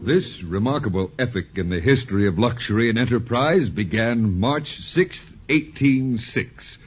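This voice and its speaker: Pompous, lowish newspaper report. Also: male voice, darkish tone. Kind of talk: 1940s radio acting. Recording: dull vintage 1940s radio recording.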